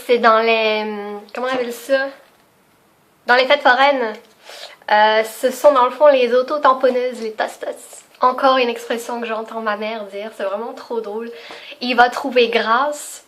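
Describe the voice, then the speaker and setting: A young woman chatting spontaneously in a casual vlog, laughing often and filling pauses. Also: fairly high pitch. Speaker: vlogger. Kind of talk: casual unscripted video chat.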